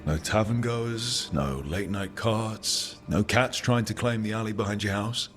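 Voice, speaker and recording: An actor playing a friendly, plain-spoken adventurer hero in a video game, talking casually and briefly. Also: male voice, fairly low pitch, measured pace, a bit bright, soft background music. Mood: quietly conversational. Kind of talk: video game character acting.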